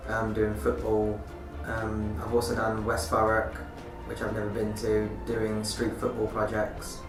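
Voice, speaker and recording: A nineteen-year-old man, a youth work apprentice, answering interview questions hesitantly with many pauses. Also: fairly low pitch, monotone. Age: young adult. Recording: roomy, mic far off, music behind.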